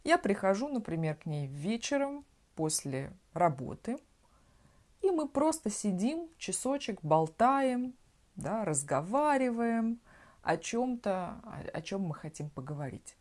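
A woman from Russia hosting a podcast, explaining words slowly and simply and often checking in with the listener. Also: mid-range voice, big pitch swings, darkish tone. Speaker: podcast host teaching Russian. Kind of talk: slow conversational language lesson.

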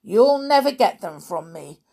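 A woman reading aloud and voicing a calm, unruffled male wizard. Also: on the low side, takes it slowly, breathy edge, heavily acted. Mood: defiant. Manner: calm, reassuring.

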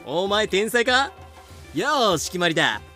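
A man acting a rowdy, greedy, foul-mouthed crewman in an anime-style audio drama, with a rough, excitable delivery. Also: high voice, a little slow, faint music behind, exaggerated voice. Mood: scheming, excited.

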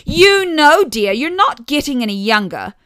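A woman's voice: high voice, sing-song, unhurried, dry recording, studio quality, pitched above the performer's own voice, heavily acted. A woman voicing a cheerfully deluded, nagging elderly mother in a short story, with a gushing, prying manner. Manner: loud, gushing, pointedly prying. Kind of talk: audio short story character voice.

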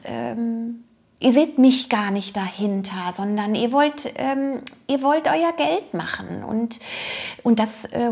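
Casting: woman with a slightly high voice, informal personal storytelling, halting delivery as she searches for words. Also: vocal fry, darkish tone. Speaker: designer telling a personal story.

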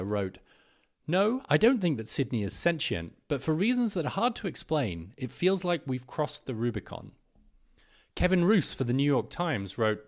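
A man hosting a podcast, reading scripted narration and an article aloud. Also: medium pitch.

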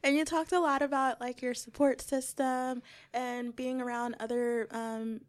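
A young woman with a noticeably high voice hosts a casual podcast. She talks loosely, fills pauses with ums and keeps nudging her guest along with short replies. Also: flat delivery. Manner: chatty, many ums, gives affirming backchannels. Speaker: podcast host.

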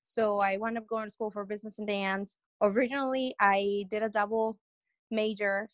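A woman's voice: medium pitch, fairly even intonation, normal speed, dry recording. A young woman from Peru, a guest on an interview podcast, telling her story in a rambling, earnest way with many restarts.

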